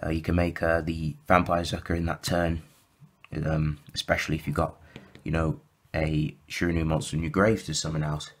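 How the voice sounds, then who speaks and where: Low-pitched male host, a bit croaky, casual deck walkthrough, filler-heavy and self-correcting. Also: flat delivery, moderate pace. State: slightly croaky from sore throat. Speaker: YouTube deck profile host.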